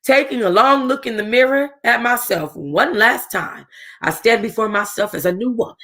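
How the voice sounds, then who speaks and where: A woman's voice: on the high side, normal speed, fairly bright tone. A woman reading a novel aloud for a book club, stumbling over words, rereading lines and breaking off to chat casually with her listeners. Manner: casual, chatty asides to listeners.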